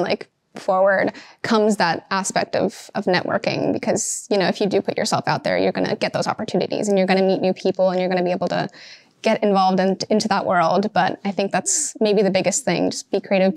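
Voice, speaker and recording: A woman's voice: medium pitch, no echo. A young woman, a fashion student, answering interview questions in a chatty way with many fillers. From Seattle, Washington. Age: young adult. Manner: chatty, lots of um and you know. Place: bright classroom with large windows.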